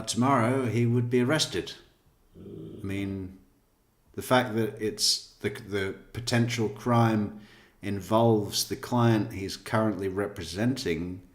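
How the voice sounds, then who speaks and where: A man giving casual off-the-cuff commentary in a halting, sardonic manner. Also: on the low side. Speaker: commentator reacting to a legal panel show. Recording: roomy, echoing room sound.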